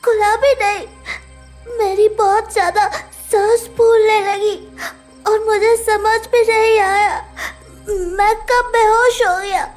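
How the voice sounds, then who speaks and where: A performer voicing an apologetic young boy in an audio horror drama, pleading haltingly like a child and devoted to his mother. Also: extremely high pitch, fairly even intonation, faint music behind. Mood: tearful, apologetic.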